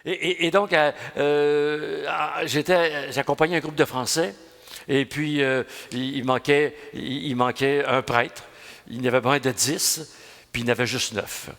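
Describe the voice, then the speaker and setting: A man's voice: on the high side, fairly even intonation, a little slow, gravelly, fairly bright tone, big-room sound. A man in his sixties with a Quebec French accent, preaching a homily at mass in a folksy, storytelling way, full of restarts and asides. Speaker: priest.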